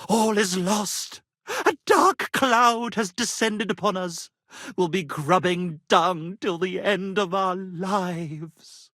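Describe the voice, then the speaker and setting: A man voicing a pompous, flattering, melodramatic medieval minstrel in a video game, with florid, grandiose delivery. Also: sits high, slow pace, no room sound, studio quality, full character voice. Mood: despairing. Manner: florid, grandiose, declamatory, swinging between rapture and despair. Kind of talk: theatrical video game character acting.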